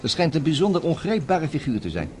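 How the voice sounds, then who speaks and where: A quick, animated voice with faint noise behind it: an eager, inquisitive investigator in a radio drama. He probes briskly and conversationally. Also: on the high side. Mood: eager, curious. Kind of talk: Dutch radio play acting. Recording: radio play with noisy background ambience.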